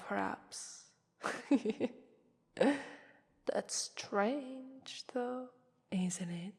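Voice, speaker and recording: A woman's voice: medium pitch, heavily acted. A woman with an Italian accent voicing a haughty, mocking female vampire who toys with her prey in a theatrical ASMR roleplay. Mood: taunting. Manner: mocking, theatrical, drawn-out phrasing with breathy whispers. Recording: long reverberant hall echo.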